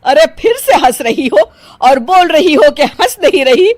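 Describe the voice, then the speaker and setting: A woman voicing an easily offended, bossy middle-aged mother-in-law in an animated story, with a scolding, exclamatory way of talking. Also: high-pitched, a little slow, a little hiss or hum, pitched above the performer's own voice. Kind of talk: animated moral story voice acting.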